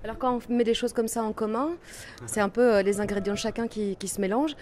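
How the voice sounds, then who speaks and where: A woman interviewing a band at a festival, asking measured questions with a few fillers. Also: fairly high pitch, fairly even intonation, darkish tone.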